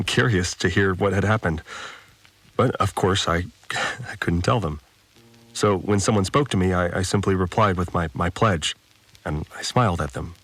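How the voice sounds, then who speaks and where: An actor playing an earnest, devout farmer, reflecting haltingly and with wonder in an audio drama monologue. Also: male voice, deep voice, fairly bright tone, rough recording. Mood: hopeful, troubled. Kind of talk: dramatic first-person monologue. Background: music.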